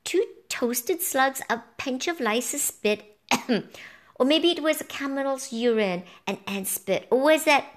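Storybook toad lackey, female reader in character: nervous, servile, high voice, fumbling and muttering through replies. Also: expressive, unhurried. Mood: confused. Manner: hesitant, muttering, throat-clearing. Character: confused, timid toad servant to a witch.

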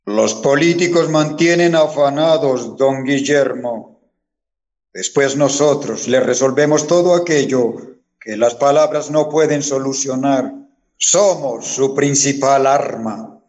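A man playing a cold, deferential henchman in a radio drama, measured and menacing. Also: mid-range voice, darkish tone, close to an everyday voice. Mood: quietly menacing. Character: cold, deferential henchman who settles things by force.

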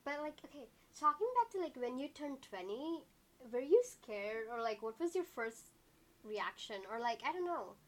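A young woman in her early twenties, talking in a loose, rambling way with lots of "like" and quick asides. Her voice sits a little on the high side. She is co-hosting a casual podcast chat. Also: animated. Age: 20s. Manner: chatty, many fillers, quick asides.

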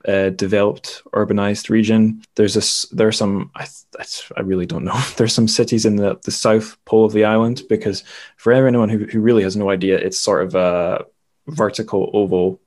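A man's voice: lowish voice, little pitch movement, moderate pace, a little mellow. A man hosting a casual book discussion, informal and tentative, often qualifying what he says. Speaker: podcast host.

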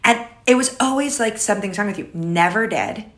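A woman in her thirties with a raspy low alto voice, chatting casually and rambling through many fillers and restarts. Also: lively intonation, fairly quick. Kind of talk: casual podcast conversation.

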